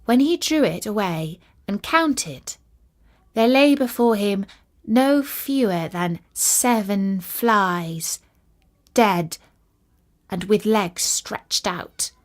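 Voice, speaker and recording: Woman telling a kids fairytale, takes it slow, voice goes up and down loads. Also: medium pitch. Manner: expressive, deliberate storytelling delivery. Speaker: storyteller. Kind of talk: scripted fairytale narration for children.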